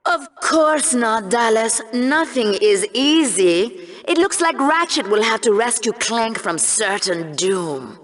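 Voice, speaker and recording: A woman's voice: a little high, sing-song, slow pace, fairly bright tone, full character voice. A woman playing a sardonic, bloodthirsty co-host of a sci-fi sports show in a video game, bantering theatrically. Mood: dry sarcasm. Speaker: co-host, commentator.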